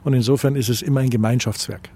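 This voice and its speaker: A man's voice: medium pitch, fairly even intonation, fast, dark tone, slightly rough. A middle-aged man from Bavaria with a Bavarian accent, answering interview questions as a tourism association president, hesitant with many fillers and restarts.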